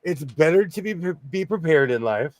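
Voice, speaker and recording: A man in his forties hosting a casual sewing livestream, hoarse and losing his voice, joking and laughing often as he reads the chat. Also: fairly high pitch, expressive, normal speed, darkish tone, dry recording.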